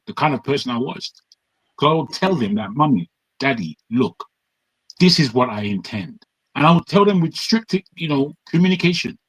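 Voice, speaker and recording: Emphatic man, fairly high voice. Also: a bit bright, rough recording. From Nigeria. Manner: emphatic, repeats words, frequent restarts. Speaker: panel commentator. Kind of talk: opinionated talk-show commentary.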